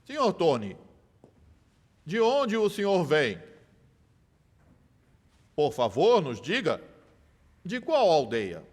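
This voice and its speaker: An actor dubbing a sharp, persistent lawyer who cross-examines a witness with measured, theatrical questions. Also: male voice, a little high, huge pitch swings, big-room sound, exaggerated voice. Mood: probing. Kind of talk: dubbed film courtroom drama.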